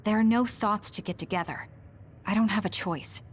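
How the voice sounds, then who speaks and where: A woman's voice: medium pitch, moderate pace, a little hiss or hum, close to an everyday voice. A young woman playing a determined, guarded squad leader in an anime-style game dub, speaking firmly and tersely. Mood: grimly resolved. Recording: loud music behind.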